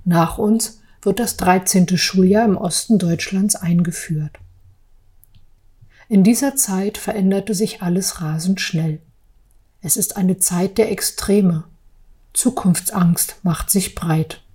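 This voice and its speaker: A woman gives a personal account in a measured, deliberate way. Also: lowish voice, mellow, husky. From Berlin, East Germany. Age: 40s. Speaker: artist telling her own story. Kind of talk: personal account for a podcast, partly read aloud.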